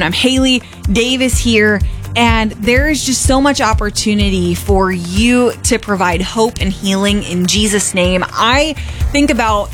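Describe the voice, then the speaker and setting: A woman hosting a radio show, earnestly urging listeners to give in a fundraising appeal. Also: mid-range voice.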